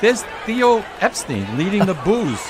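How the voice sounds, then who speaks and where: Animated high-pitched man announcing a parade. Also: measured pace. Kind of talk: mock live parade commentary with co-hosts. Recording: noisy outdoor broadcast with crowd behind. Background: crowd and outdoor street noise.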